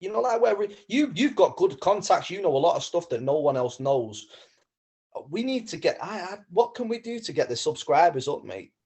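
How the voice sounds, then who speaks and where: A man's voice: sits high, sing-song, moderate pace, a little mellow. A man with a North West English accent chatting casually as a guest, matey and slangy, often restarting his sentences. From Manchester area, England. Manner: matey, slangy, stumbling over starts. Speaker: guest caller. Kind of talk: casual chat.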